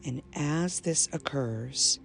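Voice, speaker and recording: A woman with a low-key, creaky voice leads a guided meditation over ambient music. Her delivery is extremely slow and soothing. She measures out short phrases with pauses between them. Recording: soft binaural music bed. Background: ambient binaural meditation music.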